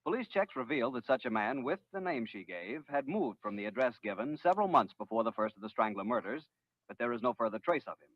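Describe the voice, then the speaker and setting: An actor playing an impersonal radio news announcer, reading a bulletin formally in 1940s style. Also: male voice, mid-range voice, lively intonation, fast, dry recording, natural voice. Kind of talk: 1940s radio newscast acting. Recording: dull, dry old radio recording.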